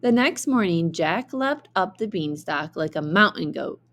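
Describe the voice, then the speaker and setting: A woman narrates a children's storybook in the manner of a teacher. Her voice is slightly low, and she reads very slowly and deliberately, with expressive emphasis. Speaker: teacher reading aloud.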